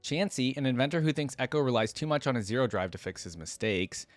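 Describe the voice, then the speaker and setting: A man's voice: mid-range voice, animated, fast, no echo, faint music behind. A man hosting a reaction video, reading game lore aloud and dropping in casual, informal opinions of his own.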